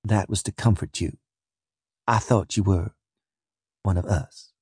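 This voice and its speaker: A young enslaved woman, voiced by a male audiobook reader, speaks in a soft, husky voice. She is scornful and worn down, sneering with bitter resignation. The sound is close and dry. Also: low-pitched, measured pace, no room sound, full character voice. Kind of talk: audiobook character voice.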